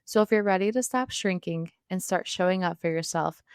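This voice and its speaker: A woman's voice: on the low side, brisk, smooth, no echo, studio quality. A woman hosting a podcast with an upbeat, affirming delivery. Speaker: podcast host. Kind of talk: podcast hosting and scripted promo.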